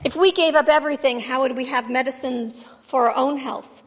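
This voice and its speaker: A woman pastor reading scripture and giving a sermon, measured and emphatic, asking the congregation questions. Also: high voice. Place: church sanctuary. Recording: dull sound with long church reverb.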